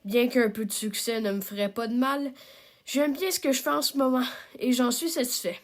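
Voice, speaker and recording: A woman's voice: on the high side, steady tone, moderate pace. A young woman with a Québécois accent, an amateur animator talking casually about her cartoon with many fillers and self-deprecating asides. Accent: Québécois French. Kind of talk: casual self-narration and outro.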